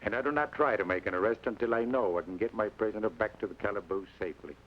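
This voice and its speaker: A man's voice: lowish voice, a little hiss or hum, natural voice. A man playing a wry Mexican police colonel. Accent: Mexican Spanish. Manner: dry, measured, ironic. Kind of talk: 1940s radio acting. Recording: dull old radio recording with music.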